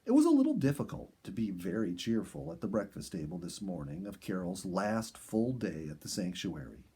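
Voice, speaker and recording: Male audiobook reader, mellow and slightly husky, lively pitch movement, storybook delivery. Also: normal speed. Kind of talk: expressive storybook narration.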